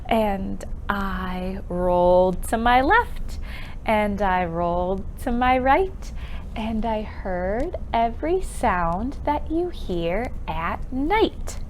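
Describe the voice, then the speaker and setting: A woman hosting an interactive children's storytime with sing-along rhymes, speaking expressively and encouragingly, asking questions and leaving pauses for answers. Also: mid-range voice, a little hiss or hum. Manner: expressive, exaggerated delivery for young children.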